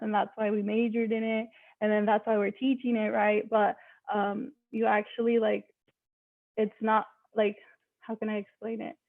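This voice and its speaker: A woman's voice: on the high side, no room sound. A woman from Mexico, a native Spanish speaker, speaking casually as a math teacher on a panel, with many likes and ums. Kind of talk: panel discussion. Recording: tinny dry phone-like line.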